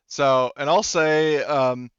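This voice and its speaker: A man's voice: on the high side, fairly even intonation, slow pace, clean tone, dry recording, muffled recording. A man casually improvising narration as a tabletop game master, conversational and thinking aloud as he goes.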